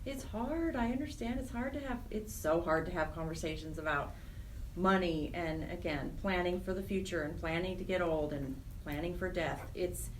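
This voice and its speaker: A woman's voice: fairly low pitch, normal speed, low-quality recording. A woman being interviewed on the radio, explaining earnestly and often repeating and rephrasing herself. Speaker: guest, charity program director. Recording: clear background noise.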